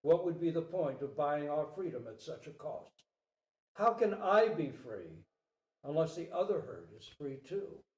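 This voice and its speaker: A man voicing a noble, selfless deer king in a storybook parable, grave, measured and resolute. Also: a little high, very animated, a little mellow. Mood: earnest pleading. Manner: solemn, measured, pleading with dignity. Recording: roomy, echoing live recording.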